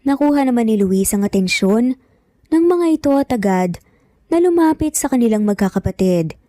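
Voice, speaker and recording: A woman's voice: on the high side, lively intonation, moderate pace, mellow, no echo, clean studio sound. A woman narrating a scripted romantic drama story as a storyteller.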